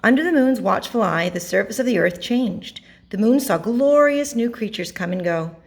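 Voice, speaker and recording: A woman reading a picture book aloud to children, narrating expressively with dramatic pauses and emphasis. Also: medium pitch, lively intonation, vocal fry, husky. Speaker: storybook reader.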